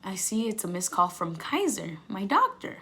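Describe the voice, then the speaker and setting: A woman's voice: mid-range voice, very animated, moderate pace, pushed and bright. A young woman vlogger telling a personal story in casual, candid, slangy speech with swearing, acting out past conversations as she goes.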